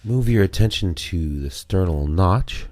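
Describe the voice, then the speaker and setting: A man giving guided meditation and self-massage instructions in a measured way, pausing between short phrases. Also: low-pitched, expressive, darkish tone, dry recording.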